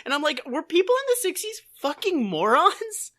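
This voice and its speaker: A woman with a bright, sharp voice and animated, swooping pitch talks as a podcast co-host. Her commentary is casual, sarcastic and profane, full of comic riffs and imagined quotes. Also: normal speed.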